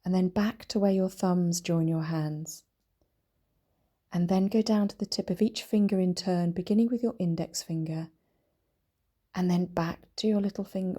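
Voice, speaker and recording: A woman leading a guided meditation in a soft, breathy, whispery voice, speaking gently and soothingly with long, deliberate pauses. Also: fairly low pitch. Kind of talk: guided meditation, body scan.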